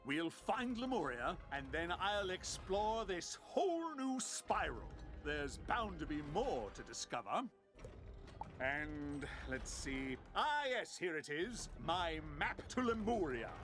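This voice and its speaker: An actor playing a bombastic old-time gentleman adventurer in a video game, pompous and theatrical. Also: a little high, very animated, takes it slowly, music in the background. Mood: wistful, eager. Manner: pompous, grandiose, exclamatory.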